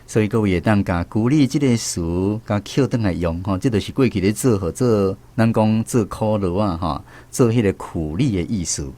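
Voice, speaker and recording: Low-pitched Taiwanese man hosting radio. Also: moderate pace, few pauses, mellow. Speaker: host of a language quiz radio show. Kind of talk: radio hosting, addressing listeners and students.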